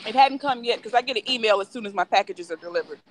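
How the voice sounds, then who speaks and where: A woman's voice: a little high, fast talker, slight background noise. A woman chatting casually and telling stories, acting out the people she quotes. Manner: storytelling, acts out quoted voices. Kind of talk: casual chat.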